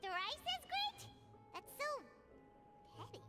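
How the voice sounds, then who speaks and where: Squeaky, very high tiny fairy companion. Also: female voice, sing-song, slow, loud music behind the voice, heavily acted. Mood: amazed, cheeky. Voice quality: squeaky, childlike. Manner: speaks of herself in third person, exclamatory. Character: tiny childlike floating fairy companion, female, chirpy and cheeky. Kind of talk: video game voice acting.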